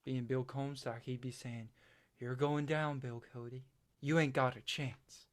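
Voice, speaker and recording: A man performs a self-doubting country farm boy in a stage drama. He speaks haltingly and plainly to a friend, like someone haunted and unsure of himself. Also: medium pitch, fairly even intonation, natural voice. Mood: wistful. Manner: halting, hesitant, plain-spoken. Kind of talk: stage play acting.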